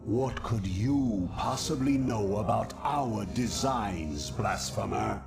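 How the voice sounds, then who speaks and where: Pompous alien judge, slow and gravelly. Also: male voice, on the low side, over music. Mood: indignant scorn. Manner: pompous, disdainful, formal. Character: haughty, zealous male alien judge, scornful and rigid. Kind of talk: theatrical video game voice acting.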